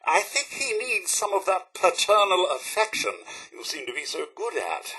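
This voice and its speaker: An actor playing a fussy, loyal robot butler in a video game, with a posh, theatrical British manner and formal servant speech. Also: male voice, high-pitched, very animated, moderate pace, pushed and bright, husky, heavily acted, low-quality recording. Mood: politely fussy. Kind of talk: video game character voice lines.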